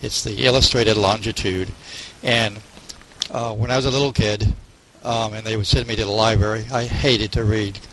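A man with a fairly low voice talks casually about reading in a group discussion. He feels his way through sentences, backing up to correct himself. Faint noise and clicks sit behind him. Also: fairly even intonation, moderate pace, fairly bright tone, rough recording. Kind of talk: casual book club discussion.

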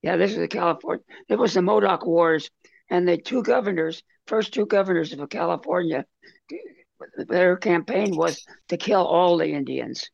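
Gravelly, husky man with a highish voice. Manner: halting, rambling. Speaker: book club member. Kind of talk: casual group book discussion. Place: video call. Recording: dull lo-fi video call audio.

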